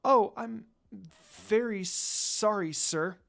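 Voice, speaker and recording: A man's voice: sits high, very slow, creaky, voice pushed up, exaggerated voice. A man voicing a shy, polite young princess with a nervous, apologetic manner in a story reading. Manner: nervous, apologetic, soft-spoken.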